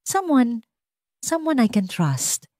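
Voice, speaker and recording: A woman's voice: on the high side, sing-song, takes it slowly, a little mellow, dry recording, studio quality, voice pushed up. A woman with a Filipino accent voicing an earnest, decisive, wealthy single father in an audiobook. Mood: earnest, pleading. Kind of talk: audiobook character dialogue.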